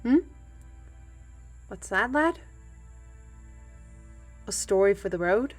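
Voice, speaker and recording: A woman's voice: mid-range voice, expressive, slow pace. A woman playing a humble, plain-spoken fantasy trail guide and storyteller in an audio roleplay, with folksy, old-fashioned and hesitant phrasing. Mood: storytelling. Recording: music bed behind the voice.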